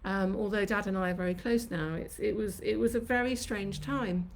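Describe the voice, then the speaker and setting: A woman in her fifties, originally from Sri Lanka, talking on a live stream from her home kitchen. She reminisces at length in a chatty, reflective way, recalling her childhood and early years. Her pitch sits in the middle range for a woman. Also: moderate pace, a little hiss or hum. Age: 50s. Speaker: host of her own channel. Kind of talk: live-streamed personal storytelling.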